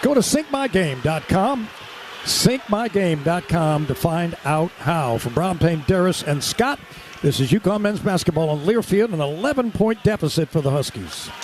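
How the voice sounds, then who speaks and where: A male radio announcer calls live basketball in a high voice. His phrasing is clipped and urgent, and there is clear noise behind him as he works the game. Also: animated, moderate pace. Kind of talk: live basketball play-by-play commentary.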